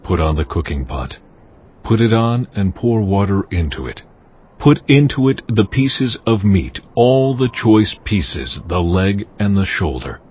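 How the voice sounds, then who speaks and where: An actor playing a stern, wrathful God, speaking gravely and commandingly in a dramatized audio Bible. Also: male voice, fairly low pitch, very animated, unhurried, exaggerated voice. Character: God, stern wrathful sovereign Lord pronouncing judgement. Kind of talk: dramatized audio Bible, solemn scripture oration. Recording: dull, muffled audio with music. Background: cinematic music.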